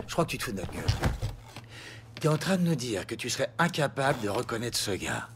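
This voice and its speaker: An actor playing an aggressive police sergeant as the bad cop in a crime audio drama, sneering, crude and confrontational. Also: male voice, mid-range voice, big pitch swings, fast talker, background noise. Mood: sneering, menacing.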